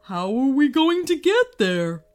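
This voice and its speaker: A woman voicing a big, gentle green monster in a storybook, timid, hesitant and worried. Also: high-pitched, big pitch swings, measured pace, soft background music, voice pushed up. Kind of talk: storybook character voice.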